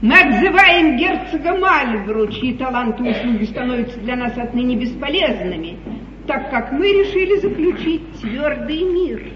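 A woman's voice: high voice, lively intonation, normal speed, a crowd in the background. An actress playing a lovelorn, anxious yet commanding queen in a theatrical 1950s stage comedy. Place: theatre stage, recorded live. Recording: noisy, muffled 1950s theatre hall broadcast, distant.

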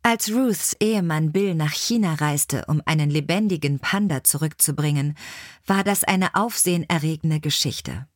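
A woman's voice: sits low, normal speed, dry recording, studio quality. A woman reading scripted biographical stories as an audiobook narrator.

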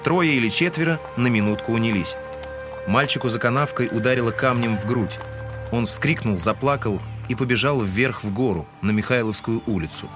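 A man's voice: mid-range voice, normal speed. A man giving scripted literary narration in an audio drama. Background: music.

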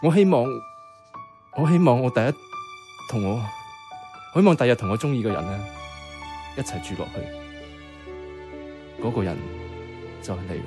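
A man's voice: medium pitch, sing-song, moderate pace, long pauses, music in the background, natural voice. An actor with a Hong Kong Cantonese accent playing an earnest, clumsy, lovestruck young man in a radio drama. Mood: earnest, pleading.

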